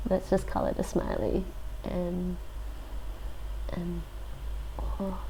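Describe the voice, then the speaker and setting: A woman streamer thinking aloud as she works through a lesson, hesitant, with many fillers and restarts, relaxed and self-deprecating. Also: on the low side, extremely slow, noisy background. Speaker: live streamer. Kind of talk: thinking aloud while doing a language app.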